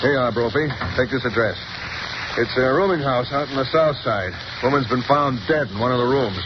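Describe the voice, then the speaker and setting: A man's voice: medium pitch, fairly quick, natural voice. A man playing a brusque police commissioner. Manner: brusque, hemming with "uh". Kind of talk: 1930s radio drama acting. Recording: dull old radio broadcast with hiss.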